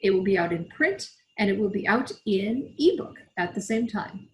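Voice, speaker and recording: A woman's voice: medium pitch, lively intonation, moderate pace, poor audio. A woman reading as an author, in the style of scripted audiobook narration. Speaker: author reading from own novel.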